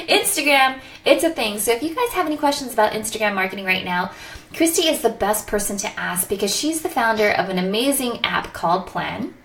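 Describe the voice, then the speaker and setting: Woman, live-stream host, enthusiastic, slightly high pitch. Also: lively intonation, moderate pace. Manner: enthusiastic, upbeat, laughs often.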